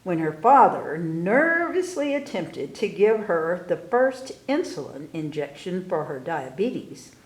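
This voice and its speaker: Slow-paced woman storyteller, echoey room. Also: fairly low pitch, big pitch swings. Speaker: library story time host. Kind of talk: read-aloud storytelling for children. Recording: roomy, echoey indoor sound.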